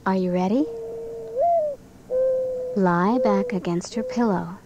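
A woman narrating a guided bedtime relaxation for children in a gentle, soothing, unhurried manner. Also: medium pitch, dull audio. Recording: clearly noisy recording with background ambience.

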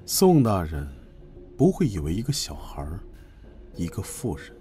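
A man acting a sly, sardonic imperial court official in a Chinese period audio drama, measured and insinuatingly polite. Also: sits low, wildly swooping pitch, dark tone, soft background music. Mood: sly politeness.

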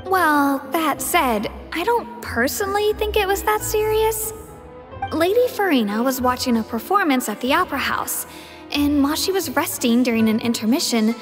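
A woman playing a young female palace messenger in a video game, speaking in a polite, composed and formal manner. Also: high-pitched.

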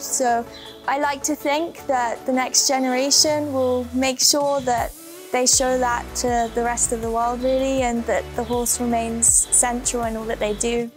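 High-voiced young British woman, even delivery. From Great Britain. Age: early 20s. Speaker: show jumping rider. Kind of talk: documentary interview. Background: background music.

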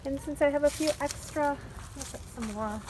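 A middle-aged woman speaking as a preschool teacher, deliberately and expressively addressing young children in a simple read-aloud, instructional style. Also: high-pitched, background noise.

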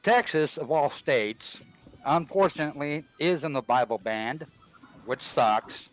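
A man's voice: on the high side, measured pace, poor audio. A man talking bluntly on a video call, repeating words as he starts his sentences. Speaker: caller on a panel. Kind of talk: casual chat on a video call.

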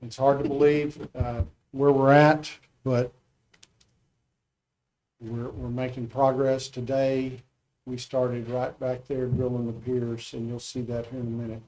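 A man's voice: mid-range voice, level tone, a little slow, darkish tone, low-quality recording. A man presenting a construction update as a school district official. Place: school board meeting room.

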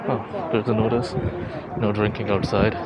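A young man with a fairly low voice talks informally to his viewers as he walks. He thinks aloud in the style of a casual tour vlog. The recording is outdoors, with heavy street and traffic noise around his voice. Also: little pitch movement, moderate pace, highs cut off. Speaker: vlogger. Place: outdoors on city streets while walking. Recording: noisy city street, traffic ambience.

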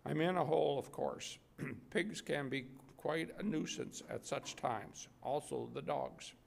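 An older man, often clearing his throat, reading aloud as a candid army medic writing home. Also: a little high, measured pace, a little hiss or hum. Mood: matter-of-fact. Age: 60s or older. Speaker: readers theater reader. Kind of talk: reading war letters aloud. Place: indoor museum gallery at a podium.

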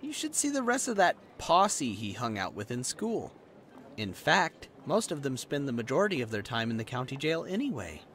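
An animated young adult man voicing a protective, judgmental friend in an audio drama. The delivery is casual and blunt, with lively pitch swings over faint ambient noise. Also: normal speed. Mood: exasperated. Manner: blunt, sarcastic, chatty. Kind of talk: roleplay audio drama, conversational acting.

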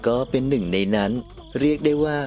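A calm ghost pal played by a man in a cartoon comedy. He reasons and soothes in a conversational, mid-range voice, over faint music. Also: medium pitch, quick pace, natural voice. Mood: knowing, explanatory. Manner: placating, patient, conversational. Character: calm, reasonable male ghost friend, mediating and explaining.

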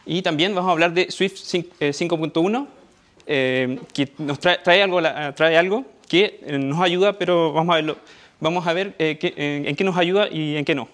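A man's voice: a little high, normal speed, a bit bright. A young Chilean man with a Chilean Spanish accent giving a tech conference talk on stage, hesitant, with many fillers and restarts. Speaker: conference speaker. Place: dark auditorium stage.

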